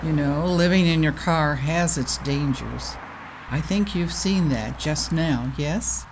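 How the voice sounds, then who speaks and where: A woman's voice: deep voice, takes it slowly, noisy background. A woman playing a kind, earnest, reassuring religious sister in an audio drama.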